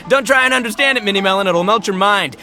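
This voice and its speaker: A man's voice: high voice, a little fast, bright, forceful tone, soft background music, heavily acted. A man voicing a boisterous young oni gang boss and self-styled rocker in a video game, bombastic, slangy and full of swagger. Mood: boastful, upbeat. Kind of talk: English video game character voice acting.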